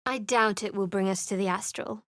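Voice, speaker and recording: A woman's voice: medium pitch, fairly even intonation, normal speed, a bit bright, no room sound, close to an everyday voice. An actress voicing a guarded, sardonic young female cleric in a video game, with a dry, sarcastic delivery. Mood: wry. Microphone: close studio mic.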